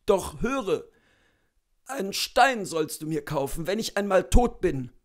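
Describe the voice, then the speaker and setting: A man reading a story and voicing a weary, dying coachman with a weak, hoarse voice and laboured speech. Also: high-pitched, sing-song, a little slow. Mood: laboured, resigned.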